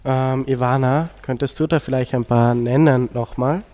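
A man's voice: mid-range voice, steady tone, normal speed, warm tone. A teenage male student with an Austrian accent, moderating a discussion on a school radio show.

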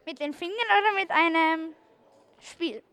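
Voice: very high-pitched, normal speed, sharp tone. A child reporter doing a live on-location interview, putting questions to people.

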